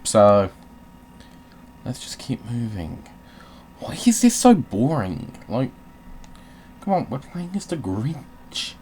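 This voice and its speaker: A man commentates casually over a video game with a very lively, swinging intonation. He is irreverent and happy to swear. His voice sits at a middling pitch, with clear noise behind it. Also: slow, long pauses. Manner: irreverent, sweary, breaks into playful put-on readings and song. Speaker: host of a gaming video.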